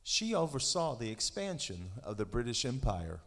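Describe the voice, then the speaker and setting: A man hosting a quiz at a school event, reading clues aloud and announcing each answer in a measured, drawn-out way. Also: mid-range voice, very animated, fairly bright tone. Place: church sanctuary. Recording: reverberant church hall.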